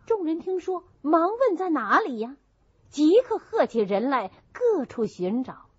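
Female audiobook narrator, slow pace, animated intonation, classical storytelling, dull lo-fi sound. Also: high-pitched, dry recording. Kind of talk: scripted narration of a classical novel. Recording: dull, muffled lo-fi recording.

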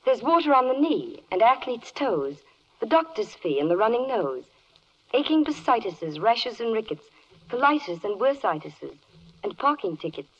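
A charming, poised spokeswoman in an old radio play. She recites verse lyrically with lively swings of pitch, in the dull sound of a 1940s broadcast. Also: normal speed. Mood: wry, reciting. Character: poised, charming female spokeswoman for animal kingdom.

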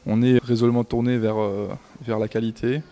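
A man's voice: mid-range voice, flat delivery, fairly quick, warm tone. A man in his thirties, a restaurant manager, answering questions on camera into a handheld mic in a small restaurant, hesitant with many fillers and restarts. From France. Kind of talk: on-camera interview. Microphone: handheld reporter mic.

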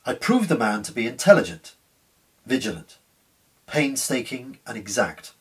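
A man in his fifties reading literary fiction aloud in an earnest, expressive, measured way. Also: medium pitch, husky. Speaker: actor reading a short story. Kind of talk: dramatic literary narration. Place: small living room at home. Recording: slightly distant room microphone.

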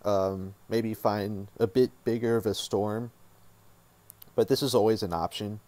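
A man hosting a casual software tutorial, talking informally and thinking aloud as he works. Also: fairly low pitch, steady tone, measured pace, no echo. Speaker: tutorial host.

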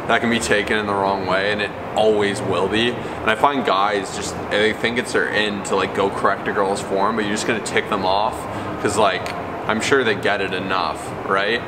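A man's voice: lowish voice, far from the mic. A young man in his twenties, a fitness YouTuber, answering viewer questions in a casual, joking vlog inside a large gym. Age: 20s. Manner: animated storyteller, quick and joking. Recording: echoey gym hall with steady hum.

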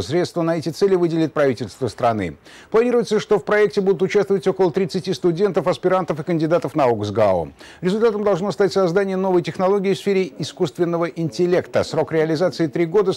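A middle-aged man reading the news as a studio anchor, in a brisk, formal delivery. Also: high-pitched, a little mellow. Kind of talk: read news bulletin.

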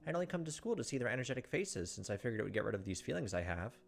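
Quick-reading man over music. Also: mid-range voice, very animated, gravelly. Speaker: let's play host. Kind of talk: reading visual novel narration aloud with asides. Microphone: close desk microphone. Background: game music.

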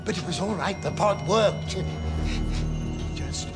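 A frightened old recluse in a video game drama. His high-pitched voice pleads theatrically and haltingly, agitated and fearful. Dramatic music plays heavily underneath. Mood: weary, fearful. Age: older. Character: frantic, frightened old recluse scientist, man. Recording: dramatic music bed under film dialogue.

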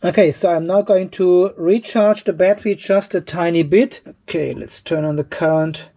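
A man's voice: high-pitched, fairly even intonation, normal speed, dark tone. Male video host, 50s, voice set well above average, casual explanatory commentary delivered as if thinking aloud. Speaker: host of an off-grid solar video channel. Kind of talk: casual product test commentary. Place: cluttered garage workshop.

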